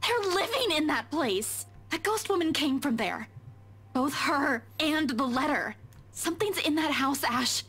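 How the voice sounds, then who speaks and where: A woman's voice: sits high, animated, unhurried, a bit bright. A woman playing an anxious but determined young woman in a visual novel, hesitant and pleading. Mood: urgent, pleading. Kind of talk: dramatic visual novel voice acting.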